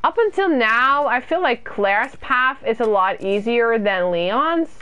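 A young woman from the UK talks slowly and easily as she streams a game from a small room. Her voice sits a little high, and she is chatty and self-deprecating as she answers her viewers' comments. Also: lively intonation, pushed and bright, clear, resonant tone.

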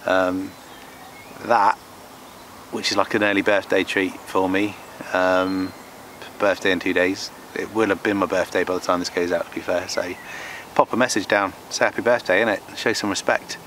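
A man's voice: sits low, flat delivery, normal speed, fairly bright tone. A man chatting casually and informally to camera as a fishing vlogger. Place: outdoors on a wooded lake bank. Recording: outdoor nature noise behind voice.

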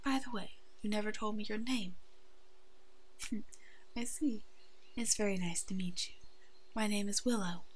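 Kind werewolf pack leader, played by a woman, mid-pitched, soft-spoken and motherly, protective and soothing, for ASMR audio roleplay. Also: fairly even intonation, unhurried, pauses often, lightly acted. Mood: gentle, welcoming. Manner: gentle, nurturing, soft-spoken, often slipping into whispers.

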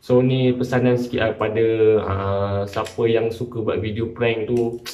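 A man's voice: lowish voice, fairly even intonation, moderate pace, a little mellow. A young man with a Malaysian accent, speaking informally and admonishingly to camera as a vlogger giving casual commentary. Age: young adult. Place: small bare-walled room indoors. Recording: echoey room, distant microphone.

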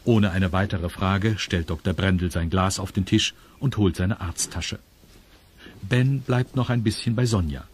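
A man's voice: deep voice, measured pace, a little hiss or hum. A man narrating a children's audio drama as a scripted storyteller.

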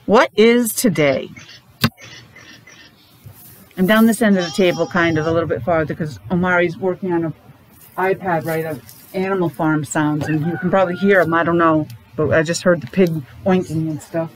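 A woman's voice: fairly low pitch, steady tone. Middle-aged woman teaching young children, noisy room. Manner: simple, sing-song, drawn-out teaching talk with repeated questions. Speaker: preschool teacher. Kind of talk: children's morning meeting lesson, asking questions. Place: small classroom, indoors. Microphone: device at about arm's length. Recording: noisy room, device microphone.

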